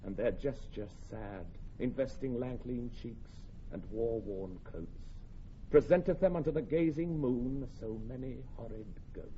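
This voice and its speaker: A man playing a solemn Chorus narrator, speaking Shakespearean verse in a measured, declamatory way with dramatic swells and hushes. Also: medium pitch, lightly acted. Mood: hushed, brooding. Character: solemn Chorus narrator setting a night before battle. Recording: dull, faintly hissy recording.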